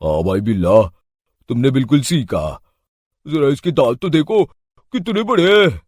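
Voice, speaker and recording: Man playing a lower-voiced nervous cartoon thief. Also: expressive, moderate pace, a little mellow, no echo, studio quality, lightly acted. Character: fearful pleading male thief, the partner. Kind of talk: children's cartoon story acting.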